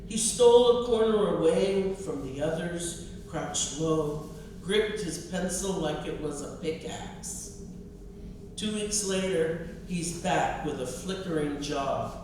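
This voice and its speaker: A woman poet speaks at a live reading with slow, expressive delivery and firm emphasis. She sounds distant, in a very reverberant indoor space with clear background noise. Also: fairly low pitch. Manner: expressive, emphatic, with repetition for effect. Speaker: poet and professor. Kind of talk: live poetry reading and audience talk. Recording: distant, very echoey, noisy room.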